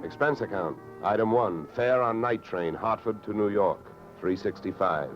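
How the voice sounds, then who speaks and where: An old, dull-sounding radio drama recording with music. A man plays a hard-boiled male investigator with a low voice, clipped, wry and unflappable, in 1940s detective style. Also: moderate pace, lightly acted. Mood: dry narration. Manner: clipped, wry, matter-of-fact. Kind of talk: 1940s radio detective acting and first-person narration.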